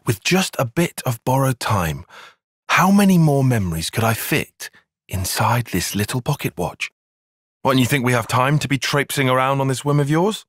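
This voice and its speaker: Precise young British tactician, lively pitch. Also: male voice, normal speed, no room sound, clean studio sound. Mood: earnest, grave, then irritated. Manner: formal, precise, bookish wording. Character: earnest, clipped, analytical young male soldier and tactician. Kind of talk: video game cutscene acting.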